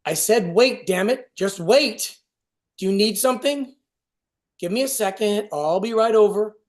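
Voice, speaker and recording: A man playing a tired, evasive, ashamed alcoholic father in a staged play reading, speaking haltingly. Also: high-pitched. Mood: flustered. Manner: hesitant, broken, halting phrasing.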